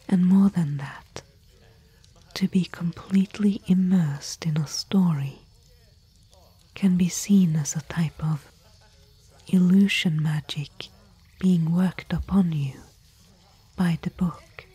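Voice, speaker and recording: A woman playing a thoughtful, bookish expedition companion in gentle, musing, whispery ASMR roleplay. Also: lowish voice, steady tone, slow pace, pauses often, heavily acted. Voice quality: breathy, whispery. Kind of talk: soft-spoken ASMR roleplay.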